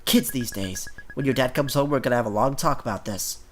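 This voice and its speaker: A man's voice: medium pitch, a little fast, a little hiss or hum, natural voice. A man voicing a strict, suspicious middle-aged mother who lectures and scolds, in a visual novel game. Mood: indignant scolding. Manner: stern, accusing, lecturing.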